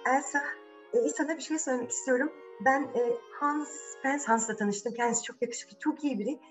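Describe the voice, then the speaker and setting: A woman voicing an eager, high-pitched young princess. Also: a little fast, far from the mic, soft background music, lightly acted, poor audio. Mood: excited, hesitant. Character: eager, playful young princess, pleading little sister. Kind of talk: children's fairy-tale storytelling.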